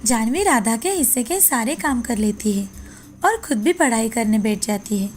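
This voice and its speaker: A woman's voice: a little high, lively intonation, normal speed. A woman narrator reading a scripted moral fairy tale as storytelling. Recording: background music under the voice.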